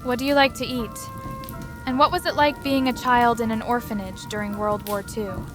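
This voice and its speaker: A woman's voice: on the high side, steady tone, moderate pace, music in the background, natural voice. A woman playing an earnest, fair-minded young witch who speaks plainly in a scripted ASMR roleplay. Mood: curious.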